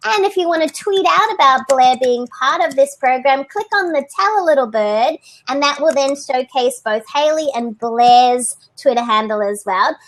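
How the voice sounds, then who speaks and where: Woman host, very high bright voice, upbeat chatty live stream, computer mic. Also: lively intonation, moderate pace, hardly any pauses, poor audio. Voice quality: high, light voice. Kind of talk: casual live video chat. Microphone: computer mic on video call.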